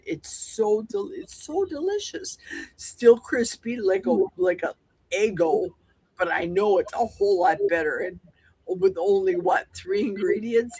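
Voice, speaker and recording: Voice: mid-range voice, slightly rough, low-quality recording. A person chatting enthusiastically on a livestream, sharing food tips and laughing easily. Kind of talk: casual livestream chat.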